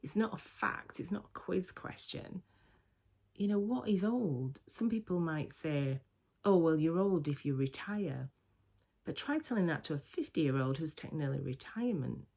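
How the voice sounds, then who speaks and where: A woman's voice: low voice, lively intonation, moderate pace, creaky, warm tone, slightly rough. A woman in her sixties from the UK, chatting casually and reflectively to camera on her vlog, with filler words and restarts. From United Kingdom. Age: 60s. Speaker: vlogger.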